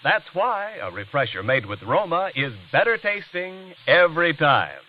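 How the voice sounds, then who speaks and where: A man's voice: on the high side, sing-song, normal speed, a little hiss or hum. An American man reading a 1940s radio commercial as announcer, with polished, genial salesmanship. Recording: dull vintage radio recording.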